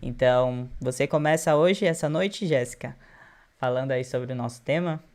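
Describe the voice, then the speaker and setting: Brazilian guy hosting a live, chatty n casual, middle pitch voice. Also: normal speed, darkish tone. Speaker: host. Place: video call live stream.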